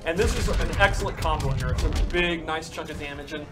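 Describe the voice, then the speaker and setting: A man's voice: on the high side, quick pace, over music. A man casually explaining fighting game moves in an instructive, enthusiastic way.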